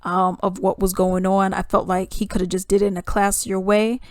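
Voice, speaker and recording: Woman, casual video commentary; animated delivery, swings loud to hushed; close, dry recording. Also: mid-range voice, brisk, no room sound. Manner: animated, chatty, swings between loud emphasis and hushed asides. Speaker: YouTube commentator.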